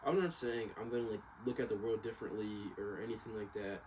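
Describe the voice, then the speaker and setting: Low-voiced young guy, noisy background. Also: quick pace. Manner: informal slangy talk, circling back and trailing off. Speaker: vlogger. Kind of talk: casual rambling personal monologue. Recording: clearly noisy background ambience.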